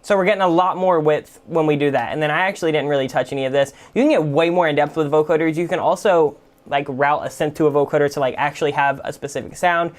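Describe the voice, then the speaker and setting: A man hosting a music production tutorial, explaining software casually and informally without a script. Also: on the high side, fairly even intonation, fast. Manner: informal, explanatory, full of "like" and "kind of".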